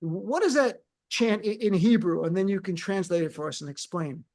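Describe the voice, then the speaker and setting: A man's voice: high voice, moderate pace, darkish tone. A man hosting a radio interview, chatting with his guest, asking questions and giving show details. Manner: conversational, asks questions and plugs the show. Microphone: studio microphone.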